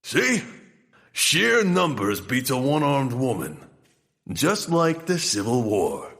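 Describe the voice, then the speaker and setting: A mocking gang henchman for an audio comedy. His delivery is blustery and unhurried, with big swings in pitch. The sound rings with long reverb. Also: sing-song, a bit bright, exaggerated voice. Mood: mocking menace. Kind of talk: comedic audio drama acting. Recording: long hall reverb, somewhat distant.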